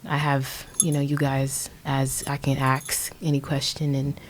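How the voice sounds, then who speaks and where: A young American woman in her twenties with a low-pitched voice speaks casually on a podcast. She is hesitant and often searches for the right word as she talks about herself. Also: monotone, unhurried, slight background noise. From Indianapolis, USA. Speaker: podcast guest, young music artist. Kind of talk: casual conversational podcast introduction.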